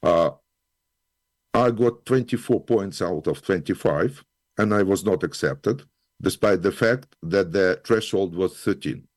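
A man from Ukraine, answering interview questions in a measured, formal way. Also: low-pitched, dry recording, clean studio sound. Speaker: guest, scientist and musician.

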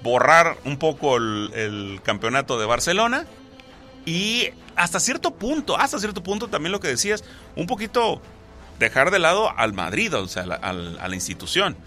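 Animated man with a bright voice. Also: fairly high pitch, moderate pace, soft background music. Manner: animated, explains at length, many fillers and restarts. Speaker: sports talk co-host. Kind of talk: casual football chat.

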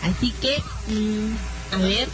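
A woman's voice: mid-range voice, slow pace, over loud music, low-quality recording. A woman hosting a comic radio show, talking in a coy, flirty way full of cute diminutives. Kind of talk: playful comic radio banter.

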